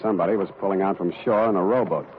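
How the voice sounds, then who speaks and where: A hard-boiled detective played by a man with a lowish voice and a very quick, clipped, wry delivery. It has the sound of a 1940s radio crime show on an old narrow-band recording. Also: lightly acted. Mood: tense, narrating.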